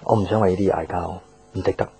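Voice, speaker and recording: An actor playing a proud, guarded young boyfriend in a Cantonese radio drama, speaking with a Hong Kong accent in a terse, restrained way. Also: male voice, low-pitched, steady tone, very fast, gravelly, dark tone, husky, full character voice. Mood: weary, withdrawn. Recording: background music under the dialogue.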